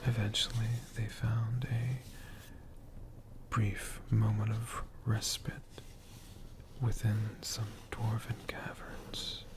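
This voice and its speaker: An ASMR storyteller whispering a tale in a hushed, lingering manner, pausing and repeating words. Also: mid-range voice, level tone. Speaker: ASMR storyteller, channel host.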